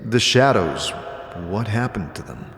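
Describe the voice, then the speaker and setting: An actor playing a guarded, weary wanderer in a fantasy audio drama, asking terse, plain questions. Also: male voice, fairly low pitch, lively intonation, unhurried, a bit bright, slight background noise. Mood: probing. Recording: echoey hall, music behind.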